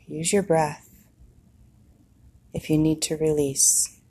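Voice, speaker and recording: A woman leading a guided meditation in a soothing manner, with long pauses and a husky, breathy voice. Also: low-pitched, mellow.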